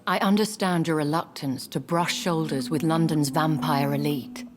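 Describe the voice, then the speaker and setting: An actress voicing a poised, guarded aristocratic vampire lady in a video game, formal and measured, with a British accent. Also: sits low, steady tone, natural voice. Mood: grave. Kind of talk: dramatic video game dialogue. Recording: background music under the voice.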